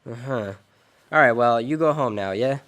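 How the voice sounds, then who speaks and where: A man's voice: mid-range voice, moderate pace, a bit bright, lightly acted. Man acting a sardonic hardboiled private eye. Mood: wry, unhurried. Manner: sardonic, slangy. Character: wisecracking, cool hardboiled male private detective. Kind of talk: radio noir drama acting with narration.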